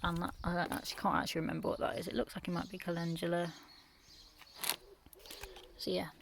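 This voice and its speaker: A woman from the UK, croaky from just waking up, giving a quiet, half-whispered tour of her back garden in the early morning. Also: on the low side, level tone, fast. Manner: hushed, half-whispered talk. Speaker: gardening vlogger. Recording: noisy outdoor recording with birdsong.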